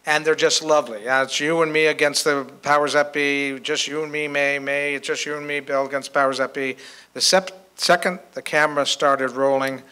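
An older man telling anecdotes to an audience on a panel, in a halting way. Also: a little high, level tone, sharp tone, clear, resonant tone. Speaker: panelist and author. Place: hotel conference room with an audience. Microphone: handheld microphone.

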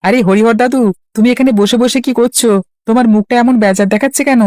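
A woman's voice: a little high, quick pace, a little mellow, no echo, clean studio sound, lightly acted. A woman playing a concerned, inquisitive young villager in a cartoon, eagerly asking questions. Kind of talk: Bengali cartoon voice acting.

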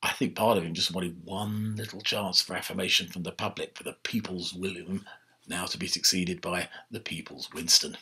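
An older man giving a casual, animated history lecture from his home study, speaking into a laptop webcam. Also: low-pitched, normal speed, breathy edge. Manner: animated, conversational, gesturing with his hands. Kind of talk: informal online history talk. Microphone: laptop webcam mic.

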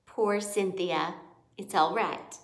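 A woman's voice: lowish voice, fairly even intonation, slow pace. A woman voicing a caring, soothing mother mouse in a storybook reading. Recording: echoey room.

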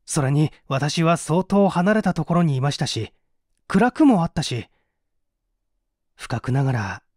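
A male reader plays a dutiful young man in an old mystery story. His voice is fairly high for a man and swings widely with feeling. He speaks in formal, polite phrases with earnest emphasis, and the sound is dry and close. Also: sing-song, measured pace, a little mellow, dry recording. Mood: heartfelt.

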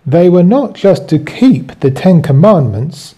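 A man hosting and reading from his own book with commentary, in deliberate, halting phrases. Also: sits high, big pitch swings, warm tone, husky.

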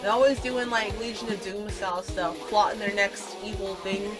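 A woman's voice: mid-range voice, flat delivery, normal speed. A woman giving casual, rambling, exclaiming reaction commentary while she plays a video game as host. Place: indoors, seated at a gaming setup. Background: loud game music.

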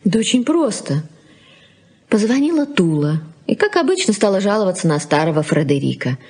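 A middle-aged wife and mother in a 1980s Soviet radio play. Her voice is husky and mid-pitched, and she speaks in a conciliatory, wistful way, gently coaxing and questioning. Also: very animated, normal speed. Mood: confiding.

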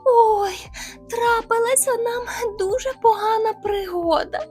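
A woman's voice: very high-pitched, slow pace, darkish tone, faint music behind, pitched above the performer's own voice. A woman voicing a small, timid male hare who pleads politely and tearfully. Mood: pleading.

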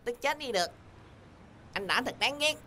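A woman's voice: a little high, lively intonation, moderate pace, a little hiss or hum. A woman playing a sulky younger sibling who grumbles about a mean brother in a cartoon. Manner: whiny, grumbling. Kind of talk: cartoon voice acting. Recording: cartoon soundtrack with loud music.

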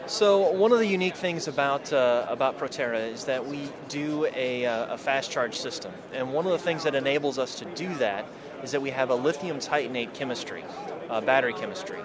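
Staffer, 30s, mid-pitched, hesitant explainer; trade show hall. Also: male voice, brisk. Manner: explaining with fillers. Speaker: company staff. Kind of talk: explanatory interview. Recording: crowd chatter behind.

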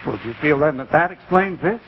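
An actor playing a polite, earnest TV announcer in 1950s live drama, formal and measured with hesitations. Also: male voice, fairly high pitch, lively intonation. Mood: puzzled, probing. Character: polite, earnest television announcer puzzled by mystery. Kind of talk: 1950s live TV presenter acting. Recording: dull 1950s TV audio, faint noise.

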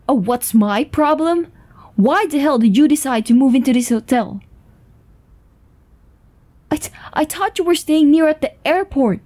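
A commanding, foul-mouthed woman with a secret, played by a woman voice actor in a dramatic audio roleplay. Her voice is fairly high but dark and mellow. She speaks slowly and urgently, swearing as she goes. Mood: panicked, angry. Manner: swearing, urgent, breathy sighs and stammers. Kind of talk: dramatic ASMR roleplay acting.

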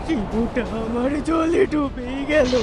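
A woman's voice: sits high, takes it slowly, over music. A woman voicing a vengeful, grieving mother's ghost in a horror cartoon, anguished and menacing in her dramatic delivery. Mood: bitter anguish.

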